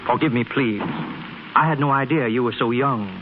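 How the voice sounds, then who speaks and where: Courteous male financial advisor played by an actor, medium pitch, 1930s radio acting, thin recording. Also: moderate pace, lo-fi sound, a little hiss or hum, natural voice. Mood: apologetic, careful. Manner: formal, deferential, hesitant. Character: polite, apologetic, puzzled male financial advisor.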